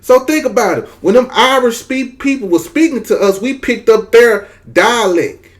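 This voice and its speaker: A man's voice: very high voice, expressive, normal speed. A man from Mississippi with a Southern drawl, giving emphatic, repetitive opinion commentary straight to his viewers. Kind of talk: casual opinion commentary to camera.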